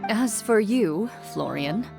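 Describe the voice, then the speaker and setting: A woman playing a composed young woman duelist in a video game, calm, measured and quietly authoritative. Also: mid-range voice, gravelly, faint music behind. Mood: firm, fair.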